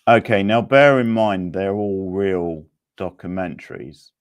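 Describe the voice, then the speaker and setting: A man works as the host of a casual quiz about video games. His voice sits low and he takes his time, setting out each option and answer deliberately.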